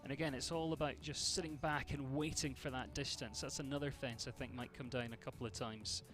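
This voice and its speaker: A man's voice: mid-range voice, fairly even intonation, fairly quick, music in the background. A man from Scotland with a Scottish accent, giving chatty live commentary on a show jumping event and greeting viewers by name.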